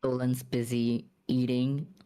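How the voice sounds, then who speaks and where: A man's voice: mid-range voice, little pitch movement, slow pace, mellow, clear, resonant tone. A man casually narrating what his character does as a player in a tabletop game. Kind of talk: casual third-person roleplay narration.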